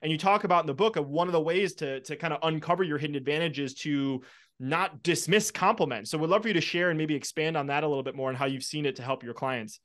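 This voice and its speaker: A man's voice: fairly high pitch, fast, fairly bright tone. A man hosting a podcast, reflective and enthusiastic as he shares ideas. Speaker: podcast host. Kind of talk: podcast interview.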